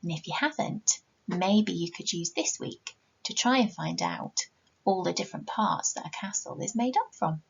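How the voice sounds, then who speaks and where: A woman's voice: lowish voice, moderate pace, creaky, breathy edge, dull audio. A woman, a primary teacher reading a story to young children and asking them questions. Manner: expressive, addressing young children. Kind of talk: children's story read-aloud with questions to listeners.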